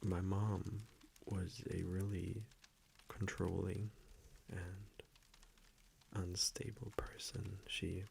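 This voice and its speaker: A man playing a gentle, confiding vampire boyfriend in an intimate ASMR roleplay, speaking hushed and hesitant and often slipping into a whisper. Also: low-pitched, level tone, drawn-out words, gravelly, slight background noise, exaggerated voice. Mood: quietly confiding.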